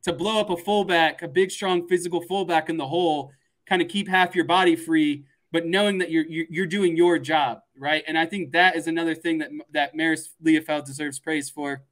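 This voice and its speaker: A man's voice: on the high side, steady tone, moderate pace, a bit bright. A man giving casual sports analysis on a podcast, explaining things and repeating phrases for emphasis.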